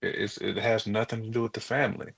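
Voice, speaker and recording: A man's voice: fairly low pitch, steady tone, quick pace, gravelly, fairly bright tone, husky. A man co-hosting a talk show, chatting casually in colloquial, down-home phrasing. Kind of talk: casual conversational chat.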